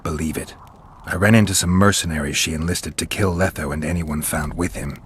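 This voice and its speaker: Gruff gravelly monster hunter from a video game, low and deadpan, faint noise behind him. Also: male voice, a little fast. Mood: firm, terse. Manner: terse, dry, deadpan.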